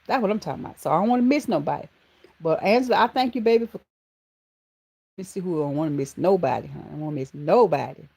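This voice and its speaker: A woman's voice: fairly low pitch, quick pace, creaky, husky. Southern woman hosting a chatty livestream. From Georgia, US. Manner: affectionate, calls viewers baby and honey, animated exclamations. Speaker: livestream host. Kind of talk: casual live chat greeting viewers.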